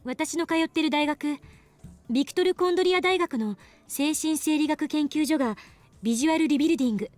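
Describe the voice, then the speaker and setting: High, very fast young woman scientist voiced by an actress. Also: a little mellow, lightly acted. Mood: confident, explaining. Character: young woman scientist, brisk, confident explainer. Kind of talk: anime visual novel voice acting. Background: faint game music.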